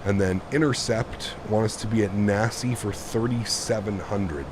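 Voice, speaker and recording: A man with a deep voice, hoarse from being sick, casually chatting with viewers on a live stream while flying. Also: normal speed, background noise. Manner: relaxed, thinks aloud, reads and answers chat. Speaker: flight sim streamer.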